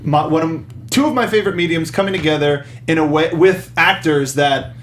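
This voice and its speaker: A man's voice: fairly high pitch, normal speed, a little hiss or hum. A man in his twenties hosting a casual film review chat with an enthusiastic manner. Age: 20s. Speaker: movie podcast host.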